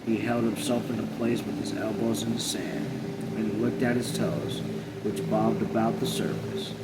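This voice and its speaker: A man narrating a novel aloud in a measured, plain manner with occasional stumbles. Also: lowish voice. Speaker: read-along narrator. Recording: loud steady air or car noise.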